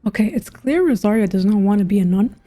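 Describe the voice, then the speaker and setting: A woman's voice: medium pitch, animated, fast, dark tone. A young woman giving casual let's-play commentary, musing aloud half to herself. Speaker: gaming streamer. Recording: game music behind the voice.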